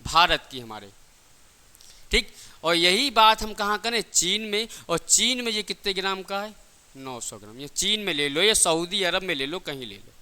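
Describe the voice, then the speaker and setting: A man's voice: high voice, sing-song, normal speed, sharp tone, clear, resonant tone. A man teaching a class, asking questions and answering them himself, repeating key points in simple everyday examples. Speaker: physics teacher for exam coaching.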